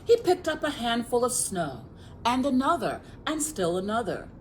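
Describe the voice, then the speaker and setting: A woman's voice: fairly high pitch, very animated, normal speed, a little hiss or hum. An American woman reading a picture book aloud as a children's librarian, expressively, speaking directly to young listeners.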